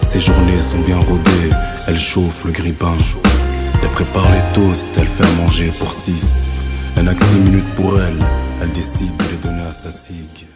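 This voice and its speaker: A man performing rhythmic spoken-word poetry, telling a story in measured short phrases. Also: deep voice, level tone. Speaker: slam poet. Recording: loud music under the vocal track. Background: loud music.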